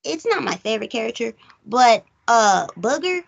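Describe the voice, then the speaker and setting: A woman's voice: fairly high pitch, very animated, moderate pace, gravelly. A woman hosting a casual film discussion, rambling conversationally and thinking aloud as she goes. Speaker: host of a film recap show.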